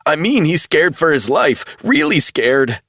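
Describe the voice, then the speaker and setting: A man's voice: very animated, normal speed, a bit bright, slightly rough, dry recording, exaggerated voice. A grief-stricken young man, sobbing and pleading, his voice strained and raised far above normal. A man acts him out in a narrated story. Dry, close sound. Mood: distraught pleading. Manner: sobbing, pleading, high-strung. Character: distraught young man, grieving hacker.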